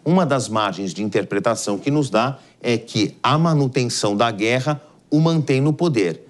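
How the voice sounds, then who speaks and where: A man's voice: mid-range voice, lively intonation, normal speed. A man with a Brazilian accent giving live explanatory news commentary as an analyst, in a didactic, emphatic way.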